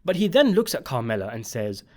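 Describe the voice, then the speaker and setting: A man's voice: medium pitch, sing-song, fairly quick. Man narrating with wide, swinging intonation. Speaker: video essayist and channel host. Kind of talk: scripted video essay commentary on a TV series.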